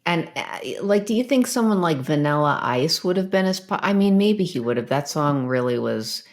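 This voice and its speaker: A woman's voice: low-pitched, animated, moderate pace. A woman co-hosting a podcast, chatting informally in loose phrases as she thinks aloud. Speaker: podcast co-host. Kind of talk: casual chat.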